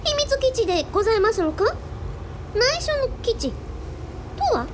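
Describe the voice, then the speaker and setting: A woman's voice: very high voice, sing-song, normal speed, a little hiss or hum. A woman playing a precocious little genius girl in a radio drama, talking in exaggeratedly polite, quaint, old-fashioned phrases. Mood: curious. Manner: exaggeratedly polite, archaic formal speech.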